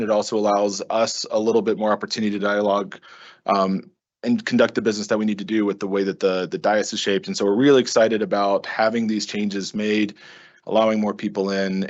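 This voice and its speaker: A man with a lowish voice chairs a board meeting and thanks everyone involved in a sincere, plain way. He pauses on ums here and there. The recording is dull and lo-fi. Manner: earnest, appreciative, with frequent ums. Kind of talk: formal opening remarks at a board meeting.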